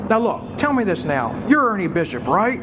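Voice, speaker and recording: A man acts out a desperate family man in a radio play, stammering and exasperated. The pitch is high and leaps around, recorded live with faint noise in the room. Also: very animated, moderate pace. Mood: frantic. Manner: stammering, agitated. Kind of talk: live radio drama acting.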